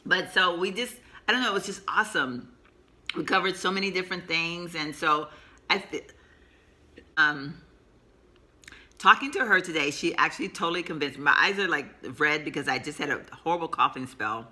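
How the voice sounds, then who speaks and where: A woman's voice: fairly low pitch, moderate pace, pushed and bright. A woman in her fifties, chatting in a rambling vlog and laughing often, her throat sore from a coming cold. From Texas, USA. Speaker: vlogger. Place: sitting at home.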